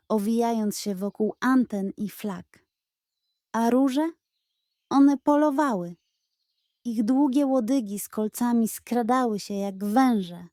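A woman narrating a scripted children's story in a dramatic, expressive way. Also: fairly high pitch, dry recording, studio quality. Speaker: audiobook narrator.

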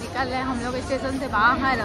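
A woman's voice: high voice, flat delivery, a little fast, over a noisy crowd. A young woman vlogging on a phone held at arm's length as she chatters with her family and calls out to her baby on trains and platforms. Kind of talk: casual vlog narration. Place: train stations, train and metro. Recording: noisy crowded station and train.

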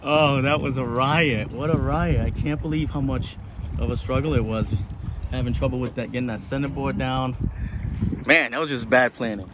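Middle-aged man, sailing vlog, relaxed and chatty, phone at arm's length, windy outdoor lake noise. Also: mid-range voice, normal speed. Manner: relaxed, chatty, laughs easily. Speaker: sailing vlogger. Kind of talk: casual vlog narration and voiceover. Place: outdoors on a small sailboat on a lake. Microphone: phone held at arm's length, no wind muffler. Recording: wind and water noise outdoors.

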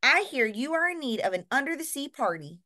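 Woman doing a brisk showy rabbit lady. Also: high-pitched, sing-song, pushed and bright, heavily acted. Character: brisk can-do female rabbit party planner.

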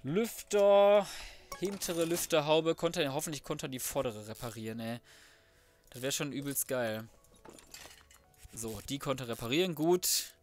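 A man's voice: medium pitch, unhurried, pauses often, a little hiss or hum. A man giving casual, slangy gameplay commentary as a let's player, thinking aloud to himself. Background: background music.

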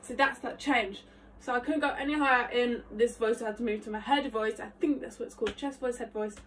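High-pitched young woman chatting casually. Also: fast, fairly bright tone, slight background noise, low-quality recording. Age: 20s. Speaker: aspiring actress. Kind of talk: casual vlog chat to camera. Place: small attic bedroom, indoors. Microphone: phone camera a short distance away.

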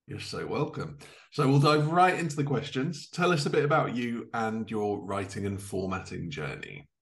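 A man's voice: lowish voice, big pitch swings, normal speed. A man in his thirties presenting a podcast in a chatty way from a living room at home. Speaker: podcast host.

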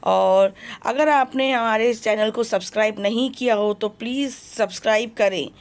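Female vlogger, slightly high voice, eager and salesy, phone-filmed in a busy store. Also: moderate pace. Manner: enthusiastic, repetitive praise, promotional. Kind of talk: shopping vlog walkthrough. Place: crowded kitchenware shop, indoors.

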